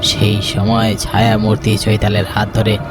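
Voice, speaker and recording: A man's voice: fairly low pitch, monotone, moderate pace. A man narrating a scripted ghost story in a dramatic storytelling style. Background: background music.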